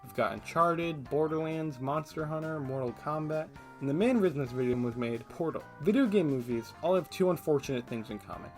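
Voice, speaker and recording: A man's voice: fairly high pitch, animated, brisk, hardly any pauses. A man giving casual, opinionated scripted commentary as a video essayist, with a sarcastic streak. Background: background music.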